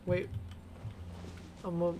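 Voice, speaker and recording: A man's voice: extremely slow, lo-fi sound. Casting: male gamer for offhand, irreverent play commentary, slangy talk over background noise. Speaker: game streamer. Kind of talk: casual gameplay commentary. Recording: noisy background.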